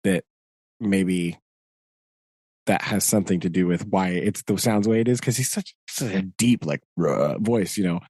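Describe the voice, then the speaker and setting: A man's voice: sits low, moderate pace, darkish tone, breathy edge, dry recording. A man co-hosting a podcast in casual chat, loose and full of fillers, often laughing. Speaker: podcast co-host.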